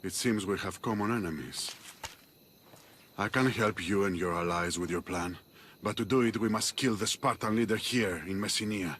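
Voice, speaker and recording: An actor playing an earnest, embattled rebel in a video game, urgent and forthright. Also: male voice, fairly low pitch, moderate pace, fairly bright tone, a little hiss or hum, natural voice. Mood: urgent, resolute. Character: earnest embattled male Greek rebel fighter. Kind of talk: dramatic video game acting.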